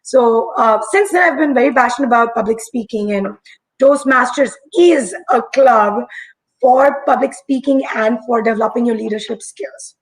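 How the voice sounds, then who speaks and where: A young woman from India with a husky voice that still sits fairly high. She tells her story as an interview guest, earnest and reflective. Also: normal speed, low-quality recording. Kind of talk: live-streamed conversation.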